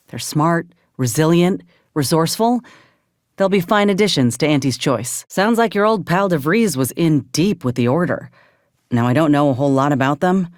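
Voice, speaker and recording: A woman's voice: sits low, a little mellow, no room sound, studio quality. A woman playing an earnest, loyal frontier soldier in a video game, speaking plainly and with measure. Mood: relieved, sober. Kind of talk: video game companion dialogue.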